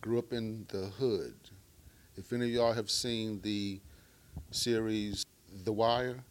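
A middle-aged man from Baltimore, Maryland, who stutters, speaking in a deliberate, measured way at a live talk. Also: lowish voice, fairly even intonation, a little hiss or hum. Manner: deliberate, measured speech using stuttering techniques. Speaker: speaker sharing his story.

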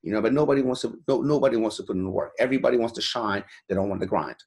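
A man's voice: on the low side, racing, darkish tone. Guy in his 40s from iran, blunt and sweary, keeps saying you know, pushy motivational talk. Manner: blunt, profane, fired-up, repeats "you know" a lot. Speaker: guest, gym owner.

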